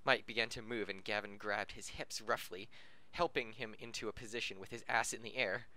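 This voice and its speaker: A man hosting a podcast reads a story aloud in a bright, forward voice with very little room sound. He is amateurish and giggly, and he trips over words and restarts phrases. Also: on the low side, fairly even intonation, a little fast, no echo, low-quality recording. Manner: stumbles over the text, giggly. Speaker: podcast host reading fan fiction aloud. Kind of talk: amateur story reading, often breaking up.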